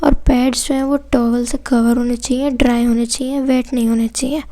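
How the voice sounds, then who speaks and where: A woman with an Indian accent speaks in a high voice that stays very even in pitch. Her tone is soft and mellow as she teaches, laying things out simply, one point after another, with English technical terms mixed in. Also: normal speed. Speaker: physiotherapy lecturer. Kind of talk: explanatory lesson.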